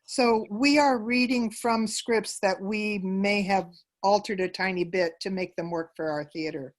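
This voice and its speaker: A woman's voice: mid-range voice, a little slow. A woman giving conversational answers as an interview guest about her work in a theater troupe.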